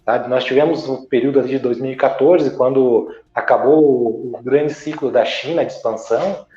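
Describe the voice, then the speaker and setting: Mellow-voiced Brazilian guest in an echoey room. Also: male voice, mid-range voice, fairly even intonation, faint music behind. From Santa Catarina, Brazil. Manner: measured, explanatory, searching for words. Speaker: guest, businessman and journalist. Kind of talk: interview answers. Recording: echoey, dull lo-fi sound.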